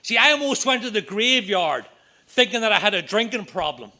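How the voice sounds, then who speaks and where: Bright-voiced Northern Irish man. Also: high voice, sing-song. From Northern Ireland. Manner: earnest, repetitive, quick asides. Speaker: AA speaker. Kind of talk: recovery meeting talk. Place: meeting room.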